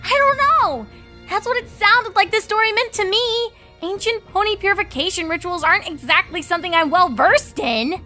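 A woman's voice: very high voice, expressive, moderate pace, pushed and bright, soft background music, pitched above the performer's own voice, heavily acted. A woman voicing an earnest, studious female unicorn pony who gets exasperated, in a cartoon story reading. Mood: flustered, alarmed.

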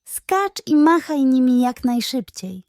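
Woman as a high-voiced encouraging seagull friend. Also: big pitch swings, takes it slowly, darkish tone, dry recording, studio quality, heavily acted. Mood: reassuring. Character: kindly encouraging female seagull, patient mentor and friend. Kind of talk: children's bedtime audio story.

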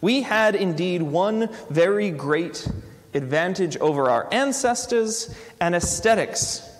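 A man in a church doing a dramatic reading as an eloquent, stoical British man of letters, with a put-on British accent and emphatic, measured delivery. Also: high voice, lively intonation. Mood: stirring, firm. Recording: reverberant church hall.

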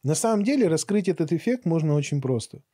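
Bright, husky middle-aged man explaining. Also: on the high side, big pitch swings, quick pace, dry recording, studio quality. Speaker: podcast guest, barber and teacher. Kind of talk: conversational interview talk. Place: small acoustically treated podcast studio. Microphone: boom-mounted studio microphone up close.

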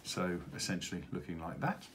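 A middle-aged man giving an unscripted product unboxing walkthrough, speaking haltingly with many hesitations. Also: low voice. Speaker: product presenter for a radio retailer. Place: indoors at a wooden table in an office. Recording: steady background room noise.

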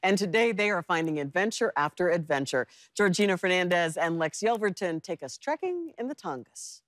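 A woman's voice: lowish voice, lively intonation, brisk, background noise. A woman news anchor reading a scripted introduction in a TV studio.

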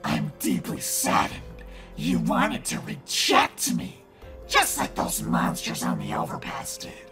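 Brief: a clingy, menacing ghost woman for a spooky story, gravelly whisper, swooping low. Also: huge pitch swings, fairly bright tone, faint music behind, heavily acted. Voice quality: raspy whisper. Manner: slow, drawn-out, eerie.

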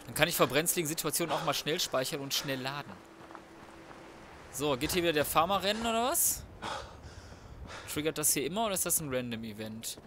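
Higher-voiced man doing casual game commentary. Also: animated, a little fast, pauses often, background noise. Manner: colloquial, sweary, reacting aloud to gameplay. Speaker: gaming YouTuber. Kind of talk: casual let's play commentary. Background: video game sound and music.

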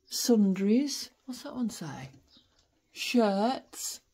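A woman's voice: mid-range voice, sing-song, extremely slow, mellow, husky. A British woman chatting casually and wryly as she explores indoors. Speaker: urban explorer and vlogger. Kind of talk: casual chat while exploring. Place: indoors, rooms of derelict farmhouse.

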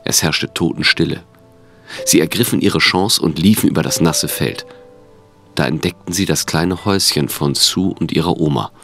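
Guy narrating an audio drama, really low voice, soft music behind him. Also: moderate pace.

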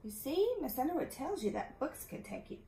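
A woman in her thirties, a schoolteacher reading a picture book aloud to young children in an expressive, teacherly way, often putting questions to the listeners. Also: high voice, fairly quick, noisy background. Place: small indoor classroom-style room. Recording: echoey room.

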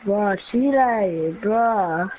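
A slightly hoarse woman chatting casually during a game with a teammate. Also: medium pitch, animated, drawn-out words, darkish tone, dull audio. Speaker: gamer. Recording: game noise behind.

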